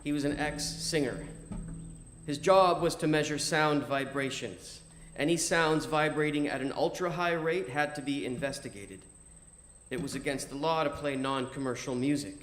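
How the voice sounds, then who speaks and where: A man reading a first-person story as narrator in a measured, theatrical, declamatory manner. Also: on the high side, a bit bright, a little hiss or hum. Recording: music behind the voice. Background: music.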